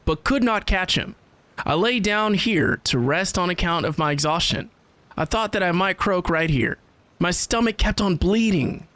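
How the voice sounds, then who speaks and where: A man's voice: high-pitched, a bit bright, dry recording. A man voicing a wounded, regretful merchant as he reads a final letter aloud dramatically from a video game. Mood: weary. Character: wounded, remorseful male merchant writing a last letter.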